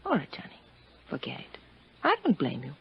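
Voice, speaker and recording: An actress playing an alluring, anxious woman in a 1950s radio detective drama, speaking in a husky, dramatic, pleading way. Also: deep voice, wildly swooping pitch, moderate pace, full character voice. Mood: hurt, reproachful. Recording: muffled 1950s radio recording.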